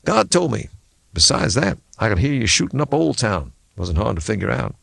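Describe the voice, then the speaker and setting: A man's voice: lowish voice, big pitch swings, normal speed, creaky, fairly bright tone, slightly rough, no echo, full character voice. A man reading an audiobook, voicing an unruffled, sardonic backwoods man who needles and insists in folksy speech. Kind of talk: audiobook character dialogue.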